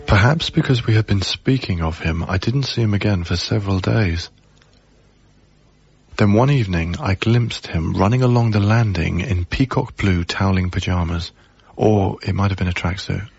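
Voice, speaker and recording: An actor playing a sombre, reflective narrator with a gravelly, husky voice, telling a ghost story in a radio drama. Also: male voice, low voice, normal speed, darkish tone, exaggerated voice. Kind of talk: scripted first-person drama narration. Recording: dull, muffled radio broadcast recording.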